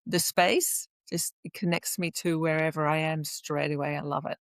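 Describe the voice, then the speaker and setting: A woman's voice: low-pitched, flat delivery, moderate pace, no echo. A woman from Flanders in Belgium, a native Flemish speaker, chatting casually and enthusiastically as a podcast guest. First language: Flemish Dutch. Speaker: podcast guest, tourism lecturer. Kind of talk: casual personal chat.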